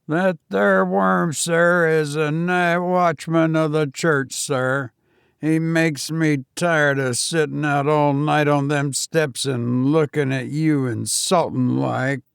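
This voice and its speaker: A man voicing a nervous, deferential young Cockney servant with rough working-class speech. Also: a little high, fairly even intonation, takes it slowly, dry recording, studio quality, voice pushed up. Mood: indignant.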